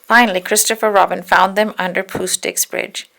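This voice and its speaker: A woman reading a children's storybook aloud in an expressive narrating style, now and then correcting a slip. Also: lowish voice, normal speed, vocal fry. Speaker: storybook reader.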